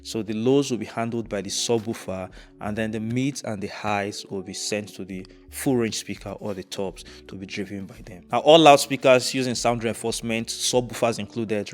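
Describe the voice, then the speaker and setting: A young man presenting an instructional tutorial on audio gear in a measured, methodical way. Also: medium pitch. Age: young adult. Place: indoors, dark studio room.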